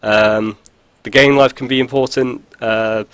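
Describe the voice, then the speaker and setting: A man with a head cold, his voice stuffy and sniffly, rambles through a casual card-game walkthrough. He talks at an everyday pitch, peppering his talk with ums and dry asides. The recording is dry and close, with little room sound. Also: steady tone, a little slow, fairly bright tone, smooth, dry recording. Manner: rambling, filler-heavy, dry jokes. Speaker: video host. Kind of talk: casual gameplay commentary.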